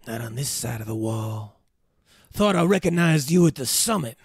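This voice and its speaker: A man playing a gruff, hard-boiled veteran cop with a gravelly, husky voice at a comedy table read. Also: medium pitch, expressive, moderate pace, no echo, studio quality. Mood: stern.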